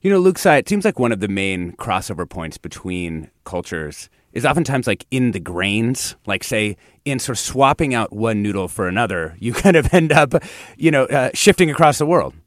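A man's voice: medium pitch, very animated, normal speed, dry recording, studio quality. A man hosting a casual interview, asking long, winding questions with restarts. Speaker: podcast host.

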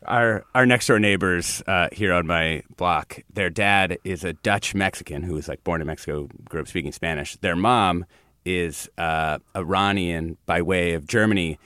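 Lowish man asking winding questions. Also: moderate pace, bright, forceful tone, clean tone, no room sound, studio quality. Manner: long, winding questions with restarts. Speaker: podcast host. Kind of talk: casual interview.

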